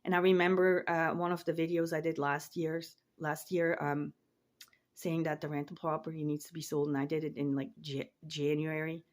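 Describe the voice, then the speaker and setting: A woman's voice: deep voice, flat delivery, moderate pace. A woman in her forties from Switzerland, chatting casually to camera in her home office, rambling and repeating words as she trails off. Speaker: online reseller and vlogger.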